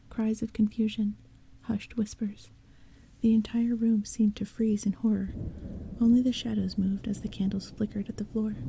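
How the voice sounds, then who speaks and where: A woman narrating an audiobook in a soft, hushed whisper. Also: mid-range voice, slight background noise, low-quality recording.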